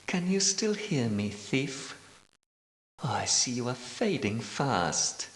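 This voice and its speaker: An actor playing a wily, outwardly timid medieval knight in a video game, speaking in formal, archaic phrases with theatrical delivery. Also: male voice, mid-range voice, very animated. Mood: sinister, gloating. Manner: formal, archaic, measured diction. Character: cunning male Grail knight, outwardly timid and nervous, secretly scheming. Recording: echoey hall with long reverb.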